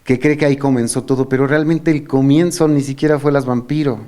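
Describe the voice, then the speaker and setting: A man's voice: medium pitch, fairly even intonation, brisk, warm tone. A middle-aged man with a Mexican Spanish accent, hosting and telling stories in a casual, reminiscing chat. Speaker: host.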